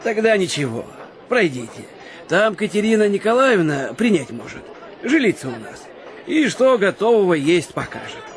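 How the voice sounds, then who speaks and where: Casting: open, rustic young village blacksmith, high-pitched and swooping delivery, radio theatre. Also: male voice, big pitch swings, normal speed, some chatter behind. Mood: obliging. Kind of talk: radio play acting.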